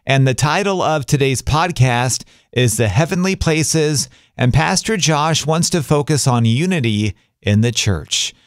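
Smooth-voiced male radio host, dry sound. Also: mid-range voice, lively intonation, unhurried, few pauses, no room sound. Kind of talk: radio presenting, program links.

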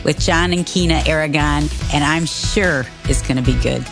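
A woman co-host reads the show's welcoming intro in a lowish voice. A music bed plays clearly behind her. She delivers it in a practiced announcer tone. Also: moderate pace. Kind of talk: scripted show intro. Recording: voice over loud music bed.

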